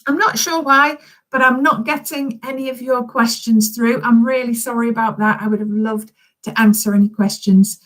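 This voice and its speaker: A woman's voice: on the high side, steady tone, normal speed, fairly bright tone, poor audio. A woman reading a children's story expressively, speaking directly and encouragingly to young listeners.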